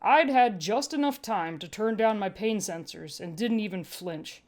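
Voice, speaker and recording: A woman's voice: medium pitch, a little slow. A woman narrating a first-person story as a podfic reader, with a dry, wry delivery.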